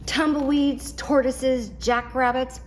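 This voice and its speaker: A woman's voice: sits high, fairly even intonation, slow pace, a little hiss or hum, pitched above the performer's own voice. Woman acting a grumpy, prickly little cactus. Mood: grumpy, yelling. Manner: yelling, curt.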